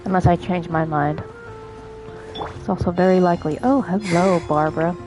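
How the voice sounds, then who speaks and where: A woman's voice: lowish voice, fairly even intonation, normal speed, low-quality recording. A woman livestreamer chatting casually as she comments on a game she is playing. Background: game music.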